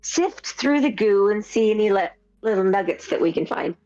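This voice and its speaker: A woman co-hosting a casual podcast, halting with many fillers and quick to laugh. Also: mid-range voice, animated, mellow. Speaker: podcast co-host. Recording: dull lo-fi sound with faint music.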